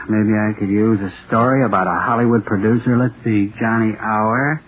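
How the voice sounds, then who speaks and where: An actor playing a nervous, self-doubting American writer in an old-time radio drama, talking to himself in a halting, theatrical way. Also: male voice, fairly low pitch, steady tone, close to an everyday voice. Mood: nervous musing. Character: jittery, self-doubting male radio playwright sliding into terror. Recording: tinny, noisy old radio recording.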